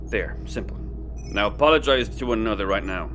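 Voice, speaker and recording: A man's voice: fairly low pitch, animated, a little fast, a bit bright, faint music behind. An actor playing a level-headed, firm and practical spaceship crewman in a sci-fi audio comedy. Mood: exasperated.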